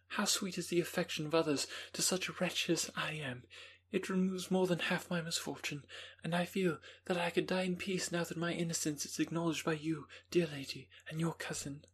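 Guy doing a soft sad young woman, raised voice, a bit breathy, all resigned and pious. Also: on the high side, pitched above the performer's own voice. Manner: soft, mournful, tender pleading. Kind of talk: audiobook character reading.

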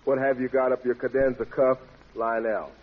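A man's voice: mid-range voice, little pitch movement, normal speed. An American man hosting a 1940s radio show, introducing acts in playful, florid hepcat slang. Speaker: radio show host and announcer. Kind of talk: 1940s radio jive-talk emceeing. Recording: tinny band-limited vintage 1940s radio recording.

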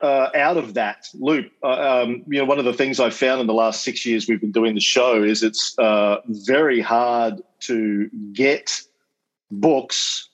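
A man's voice: medium pitch, steady tone, a little slow. A man with an Australian accent giving a casual lecture over a video call as a podcast host, chatty and full of ums and uhs, with wry asides. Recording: slightly dull video-call audio.

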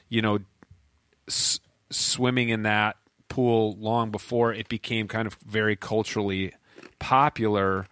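A man's voice: on the low side, fairly bright tone, clear, resonant tone, no room sound, clean studio sound. A man in his forties chatting in a podcast conversation, rambling with frequent restarts and fillers. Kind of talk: casual conversation.

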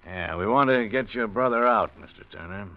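A man playing a deep-voiced smooth crook. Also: a little fast, lightly acted. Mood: menacing. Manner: cool, knowing, mildly menacing. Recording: muffled 1940s radio recording.

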